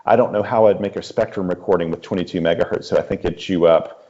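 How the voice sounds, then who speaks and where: A man's voice: deep voice, fairly even intonation, quick pace, mellow. A man talking casually and enthusiastically as an interview guest, explaining things in detail. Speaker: podcast guest, radio enthusiast. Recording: slightly dull, lo-fi audio.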